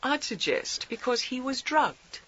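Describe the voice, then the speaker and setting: An actress playing a sharp lady detective in a radio mystery, politely needling with chatty, digressive, mock-innocent hesitations. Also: sits high, sing-song, unhurried, husky, lo-fi sound, exaggerated voice. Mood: pointed, coy. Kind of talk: scripted radio mystery acting.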